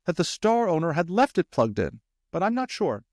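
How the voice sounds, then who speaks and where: A careful, modest mother field mouse from a storybook, played by a man, with high pitch and lilting, very mobile intonation. She is polite and a little anxious, heard close and dry. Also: very animated, normal speed, darkish tone, dry recording. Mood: careful, tentative. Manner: careful, tentative. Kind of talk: audiobook character voice.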